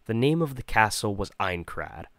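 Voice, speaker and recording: A man with a fairly low voice reads scripted material for an amateur demo reel. He comes across as self-deprecating. The sound is dry, with very little room in it. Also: very animated, normal speed, fairly bright tone, dry recording. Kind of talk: scripted narration and reading aloud.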